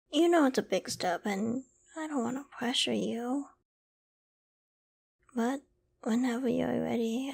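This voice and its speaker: A woman's voice: high-pitched, creaky, breathy edge, full character voice. A woman playing a shy, loving, easily flustered young girlfriend in an intimate ASMR bedtime roleplay, speaking tenderly and hesitantly. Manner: tender, hesitant, soft-spoken with stammers.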